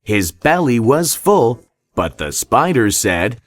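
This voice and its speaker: Male children's storybook narrator: expressive, highly animated, slow and deliberate. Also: no room sound. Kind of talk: scripted children's read-along narration.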